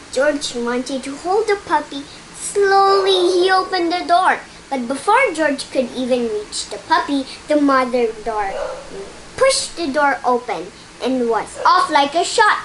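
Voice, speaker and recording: A child reading a picture book aloud in a halting way, stumbling and repeating words, with spoken asides. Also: sits high, animated, background noise. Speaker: storytime reader.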